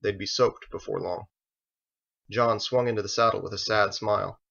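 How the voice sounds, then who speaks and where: A man's voice: lowish voice, steady tone, moderate pace, gravelly, a bit bright. A man reading his own fiction aloud as a scripted audiobook narration. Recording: dull lo-fi recording.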